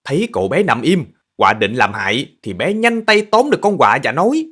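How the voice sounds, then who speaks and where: A man voicing an animated boy who tells stories to his friends in a children's cartoon. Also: medium pitch, brisk. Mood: storytelling.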